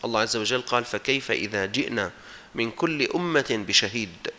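Bright-voiced Syrian man preaching. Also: mid-range voice, lively intonation, a little fast. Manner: emphatic, exhorting, with rhetorical repetition. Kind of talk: religious sermon to an audience, mixing formal and colloquial speech.

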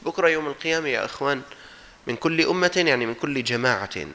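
A man's voice: medium pitch, expressive, fast, pushed and bright. A man with a Syrian accent giving a religious sermon, exhorting his listeners emphatically and mixing formal with colloquial speech. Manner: emphatic, exhorting, with rhetorical repetition. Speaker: preacher.

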